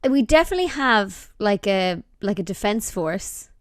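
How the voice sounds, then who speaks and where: A woman's voice: mid-range voice, very animated, normal speed, dry recording, clean studio sound. A woman with an Irish accent hosting a podcast, chatting casually and asking curious questions. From Ireland. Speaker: podcast host. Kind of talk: casual chat.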